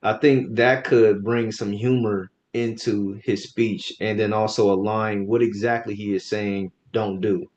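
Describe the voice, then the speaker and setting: A man's voice: fairly low pitch, fairly even intonation, measured pace, hardly any pauses, a little mellow, muffled recording. A man co-hosting a podcast who gives direct, informal feedback on a speech and repeats words while he thinks. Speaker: podcast co-host giving speech evaluation. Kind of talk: casual evaluative discussion.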